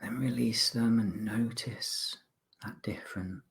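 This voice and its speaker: A man with a fairly low voice guides a body scan meditation as a mindfulness instructor. He gives polite instructions in short phrases, leaving pauses between them. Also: steady tone, gravelly.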